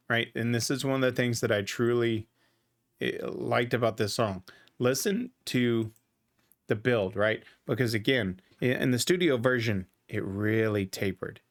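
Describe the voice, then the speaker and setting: A man hosting a music reaction video, talking through what he hears in a relaxed, thinking-aloud way with frequent restarts. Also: mid-range voice. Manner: thoughtful, restarts often, says "right?". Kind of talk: casual reaction commentary.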